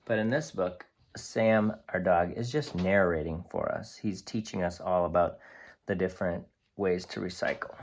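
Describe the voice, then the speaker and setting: A man reading aloud to children as a teacher, pausing to explain things simply to young listeners. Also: low-pitched, slight background noise. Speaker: read-aloud storyteller and teacher.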